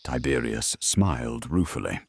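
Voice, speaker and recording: A man's voice: a bass voice, animated, unhurried, creaky, husky, no echo. A man narrating a scripted drama in a storytelling style.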